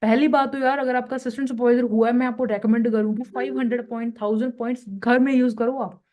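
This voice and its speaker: A woman's voice: on the high side, steady tone, fast talker, darkish tone. A young woman leading a team training session, talking in a chatty, persuasive way that keeps slipping into English phrases, with a slightly sore throat. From Punjab, India. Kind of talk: motivational sales talk.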